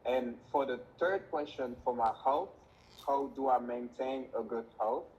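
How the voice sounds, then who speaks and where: Slow-paced man on a dull recording. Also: medium pitch, darkish tone. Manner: simple step-by-step sentences. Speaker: interviewee, kitchen worker. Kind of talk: plain interview answer. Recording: dull lo-fi sound, faint noise.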